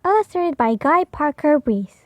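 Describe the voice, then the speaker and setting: A woman reading a picture book aloud for young children, expressive and deliberate, in a slightly muffled recording. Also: high-pitched, no room sound. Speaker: storybook reader.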